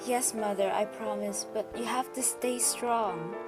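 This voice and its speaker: A woman playing an earnest, anguished young girl in an amateur audio drama, with a halting, pleading way of talking. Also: mid-range voice, fairly even intonation, close to an everyday voice. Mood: remorseful, grieving. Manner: pleading, halting, repeats words. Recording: music loud behind voice.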